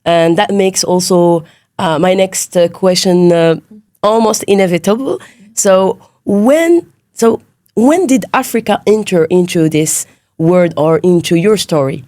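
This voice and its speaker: A woman's voice: fairly low pitch, a little slow, mellow, no echo, clean studio sound. A woman hosting a podcast interview, asking long rambling questions full of fillers and encouragement. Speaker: podcast host. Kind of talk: interview conversation.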